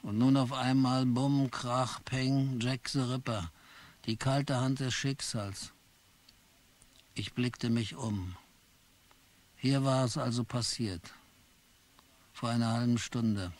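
An actor narrating as a weary clerk in a German radio play, with dry, wry storytelling. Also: male voice, medium pitch, fairly even intonation, takes it slowly, pauses often, lightly acted. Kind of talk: scripted narration.

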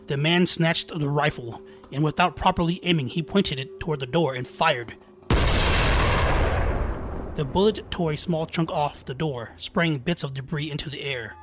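A man tells a scary folktale in a slightly raised register. His delivery is scripted and dramatic, building suspense as a storyteller does, over background music. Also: a little high. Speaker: storyteller, narrator.

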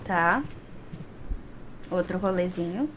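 A woman's voice: fairly low pitch, takes it slowly, heavy background noise. A young Brazilian woman giving casual, chatty commentary while playing a game, talking to herself and to the game. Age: young adult. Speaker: gaming streamer. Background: video game ambience.

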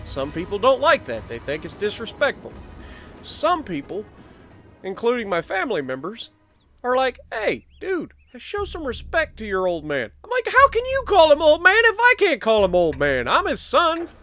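An American man in his thirties telling a casual, jokey story in an animated, exclamatory way. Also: extremely high pitch, normal speed, faint music behind. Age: 30s. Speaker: gaming video creator. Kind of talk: casual storytelling over gameplay.

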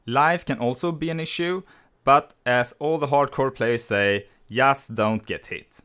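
A man with a Swedish accent, hosting a gaming channel and explaining in a voice-over how he levelled a character, relaxed and plainly worded. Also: mid-range voice, unhurried, smooth. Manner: relaxed, explanatory, slightly non-native phrasing. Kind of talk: game guide voice-over. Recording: voice-over with faint music behind.

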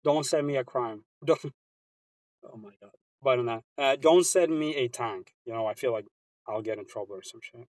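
A man's voice: medium pitch, normal speed, darkish tone, no room sound. A man from Sweden with a Swedish accent, chatting casually with viewers on a live stream from inside his car, laid-back and slangy.

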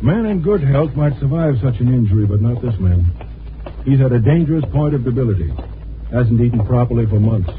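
A man's voice: mid-range voice, expressive, fairly quick, natural voice. Man playing a clipped, muffled radio doctor. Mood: grave, clinical. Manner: clipped, clinical. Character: matter-of-fact male hospital doctor, grimly pitying. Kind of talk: 1950s radio drama acting. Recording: muffled, hissy 1950s radio recording.

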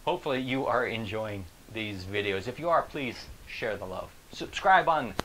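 A middle-aged American man with a creaky voice, giving a casual, animated, emphatic motivational talk to camera, often asking rhetorical questions. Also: fairly low pitch, normal speed, slight background noise, rough recording. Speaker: motivational keynote speaker. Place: small indoor room. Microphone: lapel.

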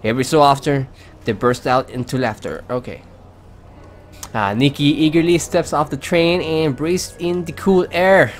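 Male let's play host, casual read-aloud and commentary, slightly high voice over game music. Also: normal speed.

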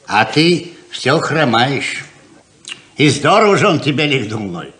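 An actor playing an easygoing, trusting ranch father in a 1970s radio play, with an unhurried, folksy manner. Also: medium pitch, big pitch swings, fairly bright tone. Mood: teasing.

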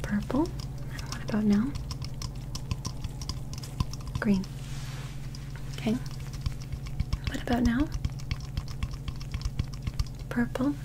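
Young woman, soft unhurried whisper, slightly high voice, ASMR medical examiner, close-miked with clear background noise. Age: young adult. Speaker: ASMR performer playing an examiner. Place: small indoor set. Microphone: close microphone.

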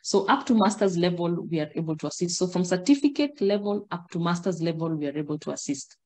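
A middle-aged Kenyan woman speaks as a guest on a video call. She explains things in a measured, steady way and gestures as she talks. Her voice sits a little low for a woman. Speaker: guest, migration agent. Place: indoor room.

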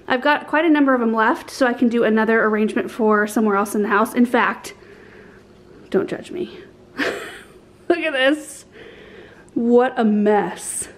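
Upbeat thirtysomething woman, bit high voice, chatty garden vlog, phone at arm's length in her kitchen. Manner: chatty, enthusiastic, explaining as she works. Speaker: gardening vlogger. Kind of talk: casual chat to camera. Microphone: handheld camera at arm's length.

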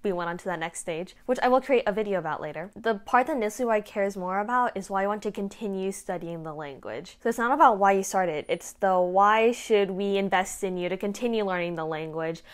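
A woman's voice: medium pitch, fairly quick, hardly any pauses. A young woman in her late teens giving casual, frank advice to camera as a student vlogger. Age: late teens, high school student. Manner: informal, frank, peer-to-peer. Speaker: vlogger giving application tips. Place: small indoor room at home. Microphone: clip-on lapel mic.

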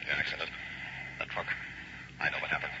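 A man playing a desperate, pleading, dying crash victim with a breathy delivery in a noisy old radio drama. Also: brisk, muffled recording, close to an everyday voice. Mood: dazed. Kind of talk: 1960s radio drama acting.

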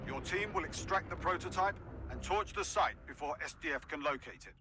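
An actor playing a serious military commander in a game, delivering a crisp, formal, matter-of-fact mission briefing. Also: male voice, on the high side, expressive, fairly quick, heavy background noise, close to an everyday voice. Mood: brisk, serious. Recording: loud music behind the voice.

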